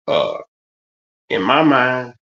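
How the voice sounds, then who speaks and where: A man's voice: mid-range voice, sharp tone. A man in his fifties hosting a stream, talking in a loose, rambling way with lots of fillers and restarts. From United States. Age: 50s. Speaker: stream host. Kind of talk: casual monologue. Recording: slightly dull lo-fi stream audio.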